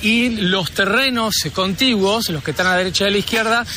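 A man's voice: high-pitched, fairly quick, pushed and bright. Argentine man reporting live from a street. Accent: Argentine Spanish. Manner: chatty, rambling, many restarts. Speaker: field reporter. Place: outdoor balcony overlooking a plaza. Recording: outdoor live feed with clear background noise.

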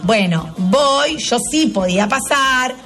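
An older woman with a Rioplatense accent, a tarot and astrology host telling stories in a chatty, animated way and laughing often. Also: sits high, takes it slowly, far from the mic, faint music behind. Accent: Rioplatense Spanish. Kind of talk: casual chat.

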